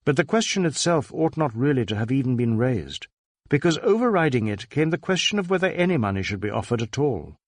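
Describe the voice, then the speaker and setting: A man's voice: mid-range voice, very animated, fairly quick, no room sound, clean studio sound. A man reading a crime novel aloud as scripted narration. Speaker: audiobook narrator.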